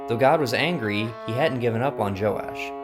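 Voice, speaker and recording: A man's voice: mid-range voice, normal speed. A man narrating a scripted Bible story in a storytelling style. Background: plucked guitar music.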